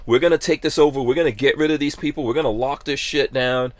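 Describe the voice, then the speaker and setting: A man's voice: medium pitch, quick pace, no room sound. A man from Guyana hosting a podcast, talking emphatically in casual opinion chat and firing rhetorical questions. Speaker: podcast host.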